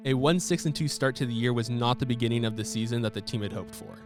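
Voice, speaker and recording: A man hosting and narrating a sports audio documentary in a measured storytelling voice. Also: mid-range voice, steady tone. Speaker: podcast host and narrator. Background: music bed.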